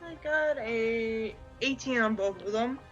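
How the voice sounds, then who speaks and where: A woman's voice: a little high, measured pace, dull audio, faint music behind. A woman taking her turn as a player in a tabletop role-playing game, in casual chat.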